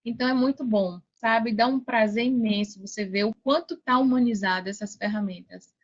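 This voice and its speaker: A Brazilian woman talking casually as a podcast guest, telling her experiences in an animated way and often seeking agreement. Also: fairly high pitch, moderate pace. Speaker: podcast guest, affiliate seller. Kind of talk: casual conversational storytelling. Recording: dull, band-limited sound.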